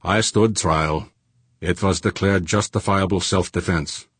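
A man acts out a dogged audiobook character, a formal businessman who will not let go. The voice is low and husky, deliberate and insistent, in a very dry recording. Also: fairly bright tone, no echo, exaggerated voice.

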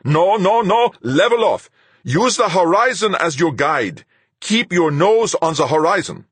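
A man voicing a sharp, commanding male spaceship captain in an audiobook, barking insistent orders. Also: on the high side, lively intonation, slow, dry recording, voice pushed up, full character voice. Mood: sharp, urgent. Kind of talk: audiobook character dialogue.

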